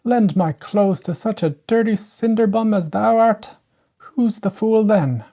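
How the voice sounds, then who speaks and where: A snooty young stepsister from a fairy tale, put on by a narrator in a voice pitched sharply up from normal. She is boastful and scornful, looking down on whoever she addresses. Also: measured pace, dark tone.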